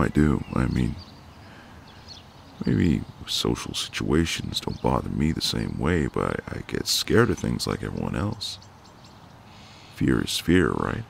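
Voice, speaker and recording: A man playing a very deep, gravelly flirty neighbour. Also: slight background noise, exaggerated voice. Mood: gentle, confiding. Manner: teasing, drawling, intimate, folksy. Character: flirty, cocky, gentle ex-marine neighbour, adult man. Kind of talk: ASMR romantic roleplay.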